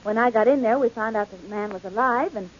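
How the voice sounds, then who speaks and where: A woman's voice: on the high side, fast, slight background noise, lightly acted. An actress playing a spirited, sweetly cheeky young woman, chatty and emphatic, in an old radio drama. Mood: explaining. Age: young adult. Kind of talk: 1940s radio drama acting. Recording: muffled old radio recording.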